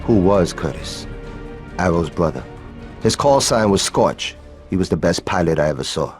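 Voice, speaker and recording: An actor playing a wry, blunt, seasoned military pilot comrade in a video game. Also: male voice, low-pitched, animated, moderate pace, faint music behind. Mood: sober. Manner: blunt, teasing.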